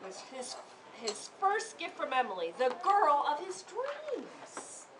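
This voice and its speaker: A woman reading her story aloud to a live audience in a theatrical, interactive way, with drawn-out emphasis and questions to her listeners. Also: high voice, huge pitch swings. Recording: distant mic with audience noise.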